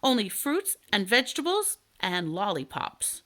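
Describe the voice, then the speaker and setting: A woman's voice: mid-range voice, big pitch swings, a little slow, a bit bright. A woman reading a children's storybook, playing a blunt, hungry baby dragon that is easily bored and speaks emphatically. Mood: indignant. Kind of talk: children's storybook read aloud.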